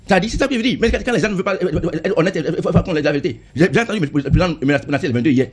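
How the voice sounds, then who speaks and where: Brief: man, MP in a heated debate, husky, rapid, insistent, repeats himself. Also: on the high side, lively intonation. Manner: insistent, repeats and stammers on words. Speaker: member of parliament.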